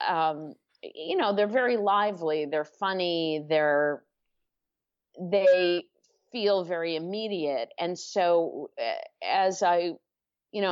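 Woman guest author, unhurried and low-voiced, thinking aloud in a muffled lo-fi recording. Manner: thoughtful, circling with restarts and fillers. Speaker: author being interviewed. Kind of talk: reflective interview answers. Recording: dull lo-fi audio.

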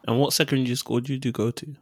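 A man hosting a podcast interview, reading a steady introduction and asking questions. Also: medium pitch, fast talker. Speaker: podcast host. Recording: music under the voice.

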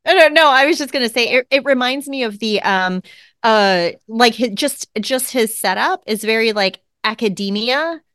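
A woman co-hosts a casual podcast with a wry, self-mocking manner and frequent laughter. Her voice sits on the higher side and sounds dry and close to the mic, as if recorded in her home office. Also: animated, moderate pace, fairly bright tone, smooth, dry recording. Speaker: podcast co-host. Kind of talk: casual chat.

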